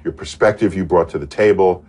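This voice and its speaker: A man's voice: sits low, fairly quick, a little mellow, slightly rough. A man hosting an interview, affirming his guest and joking often. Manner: affirming, laughs often, playful. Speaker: host.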